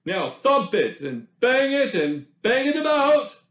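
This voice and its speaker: A man voicing an excitable, bossy village baker from a picture book, declaiming loudly with stretched-out words. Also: extremely high pitch, takes it slowly, echoey room, pitched above the performer's own voice, heavily acted. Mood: commanding.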